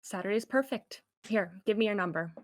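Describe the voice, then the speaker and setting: A woman's voice: mid-range voice, normal speed, natural voice. Woman playing an easygoing teasing college girl. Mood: amused, friendly. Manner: casual. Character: easygoing, teasing young female college crush. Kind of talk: table read of a pilot script.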